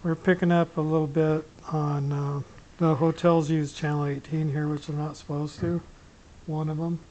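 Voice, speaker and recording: A middle-aged hobbyist giving a casual, unscripted gear demonstration, rambling and often pausing mid-sentence. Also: mid-range voice, level tone, dark tone, highs cut off. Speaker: amateur radio hobbyist giving a gear demo. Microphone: small camera pinned to t-shirt. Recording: noisy clip-on camera sound with clicks.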